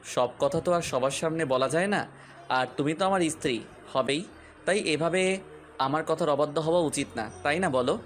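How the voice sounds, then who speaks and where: A man's voice: a little high, moderate pace, soft background music, lightly acted. A man playing a possessive, jealous young fiancé in a romantic audio story, with a taunting, commanding, accusatory manner. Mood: menacing, smug.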